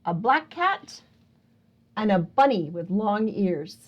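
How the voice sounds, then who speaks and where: A woman hosts a storytime for young children in a medium-pitched voice that swings widely up and down. She takes her time over the words, playfully asking questions and wondering aloud with exaggerated exclamations. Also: very animated, slow, dull audio. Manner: playful, prompting listeners with questions. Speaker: children's librarian and storytime host. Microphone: speaking close to a camera.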